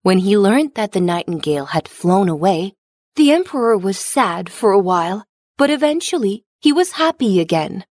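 A woman narrates a fairy tale for children. Her voice sits at a medium pitch and follows a script in storybook fashion. The recording is dry, with no room sound. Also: big pitch swings, normal speed, darkish tone, no echo.

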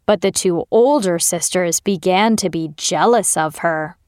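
A woman's voice: fairly low pitch, a little slow, a little mellow, clean tone, no room sound, studio quality. A woman narrator reading a scripted fairy tale for children.

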